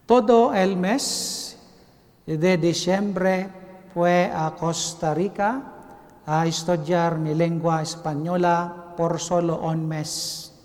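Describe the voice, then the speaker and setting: A Filipino man, a priest, giving a homily in careful, halting Spanish that is not his first language. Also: a little high, steady tone, darkish tone, big-room sound. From Philippines. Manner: careful, halting non-native phrasing. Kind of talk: homily delivered in learner's Spanish. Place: cathedral.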